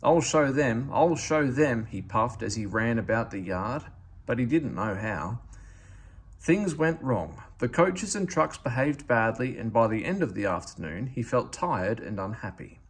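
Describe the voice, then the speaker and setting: A man reading a children's story aloud as narrator, with expressive delivery. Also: fairly low pitch, measured pace, slightly rough. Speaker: storybook reader.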